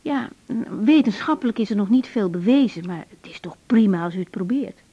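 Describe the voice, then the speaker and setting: A soft-toned, practical young doctor. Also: female voice, medium pitch, expressive, brisk, husky. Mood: reassuring, practical. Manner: practical, reassuring, informal. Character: young woman doctor, sympathetic and matter-of-fact. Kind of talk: Dutch radio drama acting. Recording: dull 1980s radio recording.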